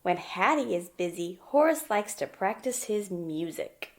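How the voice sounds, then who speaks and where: A woman's voice: medium pitch, expressive, a little slow, a little hiss or hum. A woman teacher reading a children's picture book aloud and talking it through simply, often putting questions to the young listener. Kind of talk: children's storybook read-aloud and lesson.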